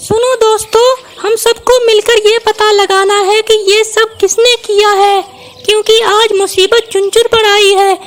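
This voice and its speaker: A woman's voice: extremely high pitch, level tone, unhurried, background noise. A woman voicing a brave, clever, kind young female bird in a children's cartoon, speaking in a reassuring, decisive way. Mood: caring, resolute. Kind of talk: Hindi children's cartoon voice acting.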